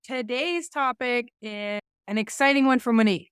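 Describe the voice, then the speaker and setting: A woman's voice: fairly high pitch, moderate pace, fairly bright tone, clear, resonant tone, no echo, clean studio sound. A woman hosting a faith podcast, talking casually and hesitantly, with frequent restarts and trailing thoughts. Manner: chatty, hesitant, restarts often. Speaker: podcast host.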